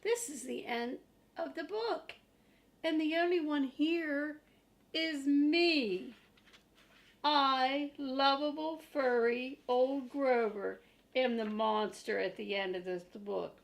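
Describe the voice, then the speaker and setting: An older woman voicing a frantic, fearful little male monster from a picture book, with emphatic, drawn-out words. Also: high voice, vocal fry, full character voice. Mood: sheepish announcing. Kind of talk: expressive picture-book read-aloud.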